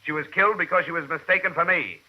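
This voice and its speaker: An actor playing a blunt, driven American insurance investigator in an old radio detective drama, with a clipped, world-weary delivery. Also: male voice, on the high side, fast talker, lightly acted. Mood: angry, insistent. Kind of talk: 1940s-50s radio detective acting. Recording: muffled old radio recording, faint hiss.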